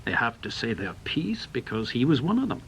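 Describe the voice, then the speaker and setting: A man's voice: mid-range voice, big pitch swings, brisk, fairly bright tone, highs cut off, slight background noise. An actor playing a bitter, blunt veteran British intelligence man in a radio drama, with an emphatic, scornful manner.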